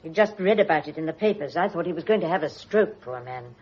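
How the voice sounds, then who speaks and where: A woman's voice: deep voice, animated, quick pace, a little mellow, slightly rough. An actress playing a fussy, deferential Englishwoman in a radio drama, anxious and fluttery in manner. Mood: distressed. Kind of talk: mid-century British radio drama acting. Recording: dull, lo-fi old radio recording.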